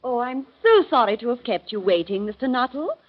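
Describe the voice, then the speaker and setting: An actress playing a chatty, refined middle-aged aunt, in 1930s radio acting style. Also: female voice, a little high, big pitch swings, brisk. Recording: muffled old radio recording.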